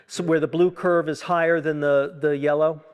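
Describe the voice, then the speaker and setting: Man with a fairly high voice, an instructor giving a hands-on technical demo, musing aloud and explaining in asides. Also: steady tone, clean tone. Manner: halting, self-questioning, explanatory asides. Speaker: acoustics consultant leading a tuning workshop. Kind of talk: informal live technical demonstration, thinking out loud.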